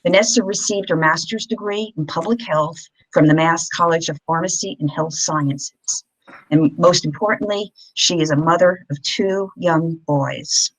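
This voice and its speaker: A woman's voice: fairly low pitch, poor audio. Woman giving a formal introduction. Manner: measured, with some slips and fillers. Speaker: event host introducing moderator. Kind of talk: formal introduction, read from notes. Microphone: video call.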